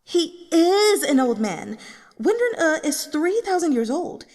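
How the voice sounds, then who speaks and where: An excitable online novel fan, as a woman reader puts it on, slangy and indignant. The voice is pushed higher and swings freely, full of exclamations and argumentative energy in a storybook reading. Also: big-room sound. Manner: slangy, exclamatory, fast-reacting.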